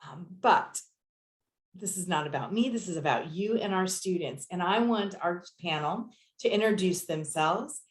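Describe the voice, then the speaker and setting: Woman admissions director hosting a panel, slightly low, halting delivery, roomy echo. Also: animated. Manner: measured, hesitant with many "um" fillers. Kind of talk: moderating an online student panel. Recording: echoey room.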